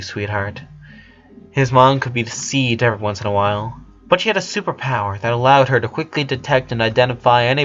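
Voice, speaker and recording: A man's voice: mid-range voice, fairly bright tone, highs cut off. A man reading a story aloud as narration, sometimes stumbling over his words and correcting himself.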